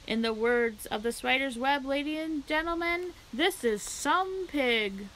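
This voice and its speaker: A woman voicing a pompous male fair loudspeaker announcer while reading a children's book aloud. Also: high voice, animated, unhurried, a little hiss or hum, voice pushed up, low-quality recording.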